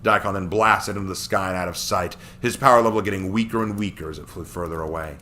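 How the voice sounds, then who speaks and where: A man's voice: low voice, fast, pushed and bright, slight background noise. A man reading a dramatic action story aloud as its narrator.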